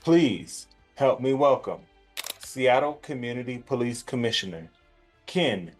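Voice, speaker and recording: A man's voice: medium pitch, lively intonation, unhurried, faint music behind. A man hosting an interview show, introducing his guest and asking questions.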